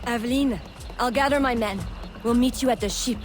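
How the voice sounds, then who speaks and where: A woman's voice: on the high side, fairly quick, faint music behind, close to an everyday voice. A woman playing a bold, wry female smuggler leader in a video game, in a brash manner. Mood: urgent. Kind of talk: video game cutscene acting.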